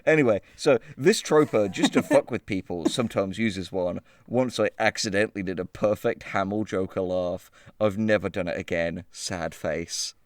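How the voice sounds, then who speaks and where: A man's voice: low-pitched, normal speed, hardly any pauses, no room sound. A man hosting a casual comedic chat, swearing and joking as he reads entries aloud and comments on them. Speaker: show host reading out web entries.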